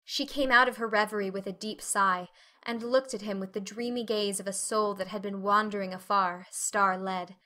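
A woman reading as an audiobook narrator, giving expressive, carefully phrased literary narration in a measured manner. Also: medium pitch.

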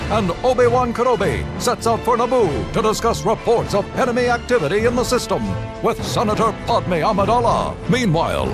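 A dramatic war announcer narrating a game in newsreel style. His pitch is high and swings widely as he urgently proclaims the script over music.

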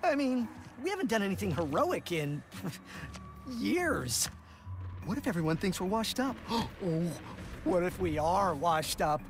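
A man's voice: sits high, big pitch swings, unhurried, exaggerated voice. A man voicing a youthful furry alien hero in a video game, casual and earnest. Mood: doubtful. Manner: casual, conversational. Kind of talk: video game cutscene acting. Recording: music behind.

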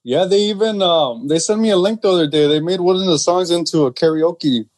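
A man's voice: sits high, brisk, a little mellow. A man with a Chicano Los Angeles accent, co-hosting a podcast, joking around and dropping Spanish slang. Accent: Chicano English, Los Angeles. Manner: joking, laid-back, drops Spanish slang. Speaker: podcast co-host. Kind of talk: casual chat.

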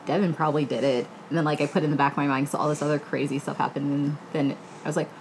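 A woman's voice: low-pitched, level tone, very fast, a little mellow, a little hiss or hum, low-quality recording. A woman in her thirties chatting casually on a book panel, slangy and acting out quotes as she retells. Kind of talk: casual chat. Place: living room, indoors.

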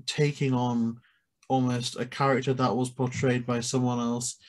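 A man's voice: mid-range voice, flat delivery, normal speed. Young man hosting a casual interview. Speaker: podcast host. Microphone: video call.

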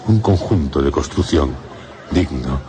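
A man narrating a gothic horror radio drama in a solemn, measured way. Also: extremely low pitch, animated, noisy background. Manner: solemn, measured storytelling in short phrases. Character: grave male narrator of a gothic horror tale. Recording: music under the voice.

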